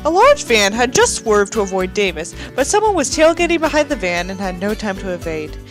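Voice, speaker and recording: A woman's voice: medium pitch, fairly quick, music in the background. A woman reading a book aloud in a mocking, dramatic way, with incredulous, sweary asides. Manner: dramatic, incredulous, expressive reactions. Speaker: reader and commentator of a badly written book.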